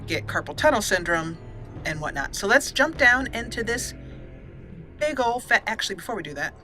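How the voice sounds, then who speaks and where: A woman's voice: medium pitch, big pitch swings, moderate pace, bright, forceful tone, soft background music. A woman giving casual, chatty let's play commentary as a gaming host, playfully exclaiming and talking to the characters on screen.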